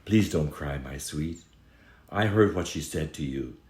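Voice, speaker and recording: Guy reading a sweet young prince from a fairy tale, super low soft earnest voice, roomy echo. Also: expressive, a little slow. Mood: tender. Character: gentle, handsome young prince, tender and apologetic.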